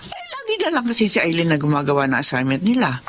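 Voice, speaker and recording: A middle-aged Filipino mother in a radio play. She is caring but anxious and admonishing, with lively, wide-ranging intonation. There is faint background ambience. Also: deep voice, fast, slight background noise. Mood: worried. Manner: expressive, admonishing. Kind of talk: radio drama acting.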